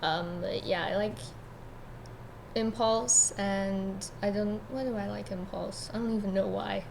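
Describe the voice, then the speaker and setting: A woman's voice: mid-range voice, steady tone, unhurried, vocal fry, darkish tone, a little hiss or hum. A woman chatting casually as a co-host on an amateur fan podcast. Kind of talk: casual chat.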